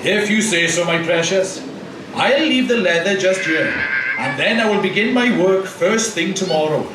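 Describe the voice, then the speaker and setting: A man's voice: sits high, animated, normal speed. A man playing a poor, kindly old shoemaker in a children's pantomime, with theatrical exclamations. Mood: worried. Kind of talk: children's pantomime puppet show. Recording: distant echoey theatre PA, noisy.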